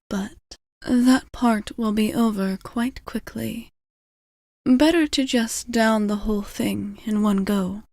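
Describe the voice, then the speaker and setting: A woman playing a gentle, caring vampire bartender in an intimate ASMR roleplay, soft-spoken and reassuring, often slipping into whispers. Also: on the high side, no echo, clean studio sound. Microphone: close ASMR microphone.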